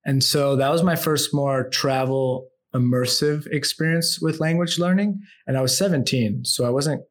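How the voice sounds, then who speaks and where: A man from the United States, a podcast guest, telling his life story in a relaxed, reflective way and dropping low and soft as he muses. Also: moderate pace. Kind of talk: storytelling in an interview.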